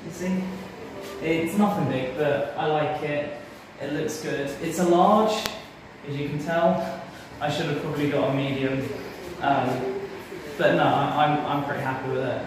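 A young man with an English accent talks casually to his viewers. His voice sits a little higher than most men's. He is chatty and informal, speaking into a phone held at arm's length. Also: measured pace, background noise. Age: 20s. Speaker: vlogger. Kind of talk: casual vlog chat to camera.

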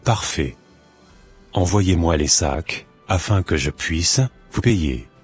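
A man's voice: sits low, takes it slowly, husky, soft background music, heavily acted. A man voicing a courteous, friendly shop customer in a cartoon, speaking politely.

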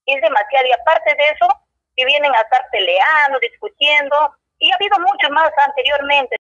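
A woman's voice: high voice, fairly quick, a bit bright, no echo. A woman shop owner with a Peruvian Spanish accent, speaking in a rambling, indignant way in a street interview. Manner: rambling, indignant, with many restarts. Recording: dull dry lo-fi sound.

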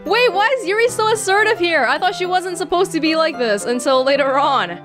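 A woman's voice: very high voice, a little fast. A woman hosting a let's play, reading a visual novel aloud expressively and adding her own reactions. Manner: expressive, acting out dialogue. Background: soft game music with guitar.

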